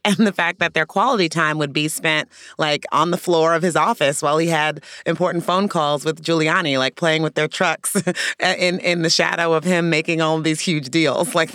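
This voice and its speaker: A woman hosting an entertainment news show, with brisk, conversational presenting. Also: low-pitched, fairly even intonation, fairly bright tone, dry recording, clean studio sound.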